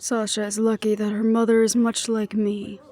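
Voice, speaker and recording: Woman playing a wry ex-hunter, mellow tone, soft and unhurried, teasing, affectionate, weary of family strife, intimate audio roleplay. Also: medium pitch, completely flat delivery, natural voice. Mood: weary, earnest. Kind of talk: intimate one-sided audio roleplay acting.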